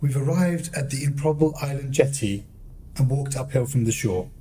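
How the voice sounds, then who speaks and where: A man's voice: mid-range voice, a little fast, mellow, husky. A man narrating a radio play in a theatrical, scripted style.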